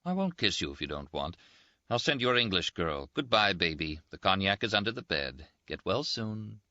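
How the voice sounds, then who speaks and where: A man voicing a brash, teasing young Italian army surgeon in an audiobook, quick and boastful. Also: low voice, big pitch swings, pushed and bright, dry recording, dull audio, pitched above the performer's own voice, full character voice. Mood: affectionate. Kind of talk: audiobook character voice.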